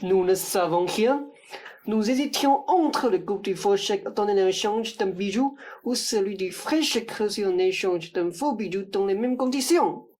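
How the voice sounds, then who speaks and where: Woman as a mellow, scheming male crook. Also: mid-range voice, moderate pace, close to an everyday voice. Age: young adult. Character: male crook, matter-of-fact, scheming accomplice. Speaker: student actor in a radio play. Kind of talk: theatrical radio-play acting.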